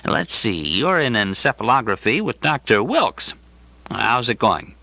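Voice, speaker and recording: A man voicing an amiable, condescending old hospital director, ailing and distracted, who hems and haws and clears his throat in an audiobook. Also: mid-range voice, big pitch swings, pushed and bright, dry recording, pitched above the performer's own voice, exaggerated voice. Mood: jovial, patronizing. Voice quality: fruity cough, throat-clearing. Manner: halting, hemming, falsely genial.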